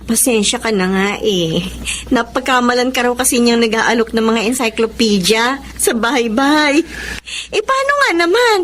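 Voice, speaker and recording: A woman playing a warm, grateful working mother in a radio drama. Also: fairly high pitch, big pitch swings, normal speed, slight background noise. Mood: apologetic, caring.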